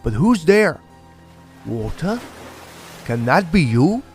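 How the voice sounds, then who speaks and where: A kindly, watchful young villager , voiced by a man, earnest and full of wonder. His mid-pitched voice moves a lot over soft music in an old-style radio play. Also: big pitch swings, takes it slowly. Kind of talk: old-time radio drama acting.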